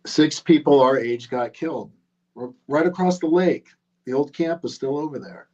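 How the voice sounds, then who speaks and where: A man's voice: on the high side, moderate pace, warm tone, muffled recording, lightly acted. A man playing a young camp counselor casually telling a murder legend in a live horror script read. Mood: teasing, grave. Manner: casual, teasing storyteller.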